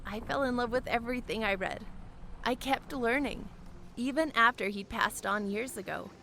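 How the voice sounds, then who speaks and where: A woman's voice: fairly high pitch, moderate pace, bright, forceful tone, slight background noise. A woman playing an earnest, wistful young airship pilot in a fantasy audio drama. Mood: fond, earnest.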